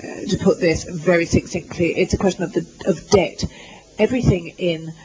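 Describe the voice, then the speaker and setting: A man interpreting live at a university lecture, halting often with fillers and restarts as he renders the speakers' words. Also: high-pitched, fairly even intonation, a little mellow, slight background noise, rough recording. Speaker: simultaneous interpreter. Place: lecture hall.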